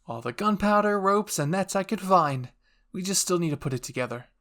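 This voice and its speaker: An excitable woman scientist in an anime fan drama played by a man. The voice is pitched high and calls out loudly, full of eagerness. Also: a little fast. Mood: excited, eager. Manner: loud, eager calling out.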